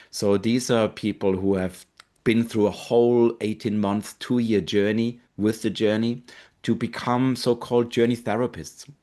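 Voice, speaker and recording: Low-voiced Austrian man hosting a webinar. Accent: Austrian German. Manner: earnest, gentle, with fillers and pauses. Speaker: webinar host, marketing director. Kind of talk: hosting a live online webinar.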